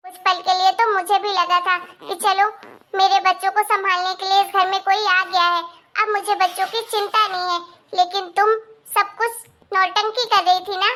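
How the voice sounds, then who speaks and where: A woman's voice: extremely high pitch, bright, forceful tone. A woman voicing a stern, suspicious grandmother who scolds her daughter-in-law in a moral-story cartoon, accusatory and commanding.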